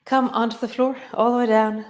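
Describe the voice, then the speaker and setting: A middle-aged woman, gently guiding a yoga class with a slightly stuffy nose. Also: on the high side, little pitch movement. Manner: gentle, encouraging, drawn-out cues. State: stuffy nose from a coming cold. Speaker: yoga teacher. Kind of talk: guided yoga class instruction.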